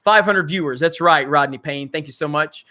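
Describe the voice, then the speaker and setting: Bright, crackly-voiced male streamer. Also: fairly high pitch, normal speed. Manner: blunt, swears freely, loose and joking. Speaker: channel host. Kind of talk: casual livestream chat reading viewer comments.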